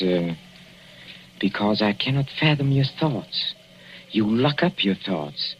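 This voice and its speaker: An actor playing a sly older husband who coos softly and chuckles while poisoning his wife, in 1940s radio drama acting on a dull old broadcast recording. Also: fairly low pitch, slight background noise. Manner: soft and wheedling, chuckling, quietly menacing.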